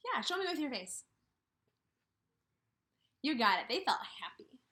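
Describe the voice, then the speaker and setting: Lady kindergarten teacher doing a read aloud, really animated pitch, simple deliberate wording, asks the kids questions. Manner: questioning, pausing for children to answer; simple, deliberate, repetitive phrasing for small children. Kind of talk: read-aloud lesson for young children.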